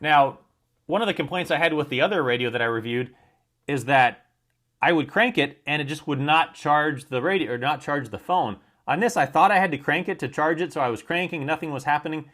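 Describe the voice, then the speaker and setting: A man's voice: on the high side, brisk, fairly bright tone. An American man giving an informal product demonstration and review, explaining each point in a matter-of-fact way.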